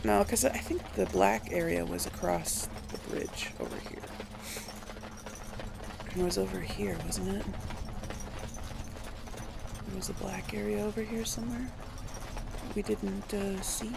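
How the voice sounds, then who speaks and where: A woman's voice: medium pitch, expressive, a little slow, creaky, pauses often, noisy background. A woman doing casual video game commentary, musing aloud and questioning herself as she plays. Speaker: let's play host.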